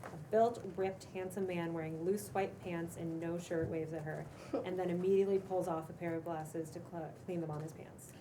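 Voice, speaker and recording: Lowish-voiced woman reading stage directions. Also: steady tone, moderate pace. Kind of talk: scripted narration at a cold table read. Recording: distant mic, clearly noisy room.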